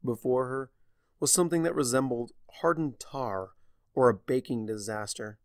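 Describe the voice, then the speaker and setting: A man narrating a story from a script, with deliberate, theatrical phrasing. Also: medium pitch, no room sound, studio quality.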